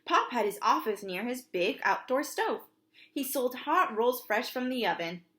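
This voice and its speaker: A woman's voice: a little high, big pitch swings, measured pace, bright, forceful tone. A woman preschool teacher reads a storybook aloud for young children, expressively, with simple asides to her listeners.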